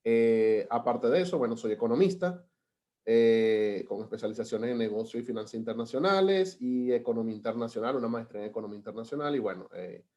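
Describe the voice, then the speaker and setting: Venezuelan male presenter, webinar on a video call, halting delivery with frequent restarts. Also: medium pitch, expressive, warm tone, muffled recording. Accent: Venezuelan Spanish. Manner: hesitant, many fillers and restarts. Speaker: government data analyst presenting.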